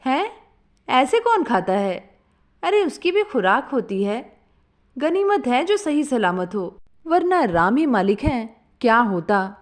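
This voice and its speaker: A woman's voice: high voice, very animated, slow, exaggerated voice. A woman voicing an exasperated doctor in a comic story, questioning and scolding a foolish patient. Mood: shocked, scolding.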